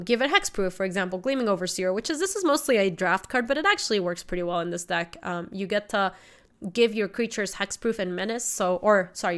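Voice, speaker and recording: A woman's voice: mid-range voice, a bit bright, smooth. A woman in her twenties with a slight cold, casually explaining a card game deck and chatting while she plays. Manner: chatty, explains deck choices, reads chat aloud. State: sniffly from a cold. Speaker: streamer. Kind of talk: casual gameplay commentary. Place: home streaming room.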